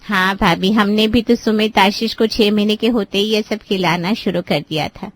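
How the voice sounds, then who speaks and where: A woman's voice: mid-range voice, steady tone, moderate pace, no echo, close to an everyday voice. A woman voicing a helpful middle-aged aunt-in-law in a family moral-story cartoon. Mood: agreeable.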